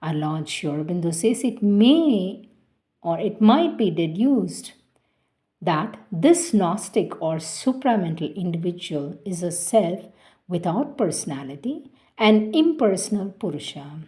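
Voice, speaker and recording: A woman reading a spiritual philosophy text aloud in a measured, careful, devotional way. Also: on the low side, sing-song, dark tone. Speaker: reader of spiritual texts.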